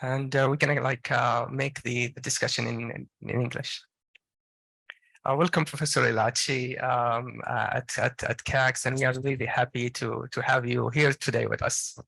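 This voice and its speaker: Creaky, halting man with an Arabic accent. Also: mid-range voice, flat delivery, pushed and bright, no echo, poor audio. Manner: halting, hesitant, repeats words. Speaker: moderator of a public discussion. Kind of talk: informal spoken introduction and questioning.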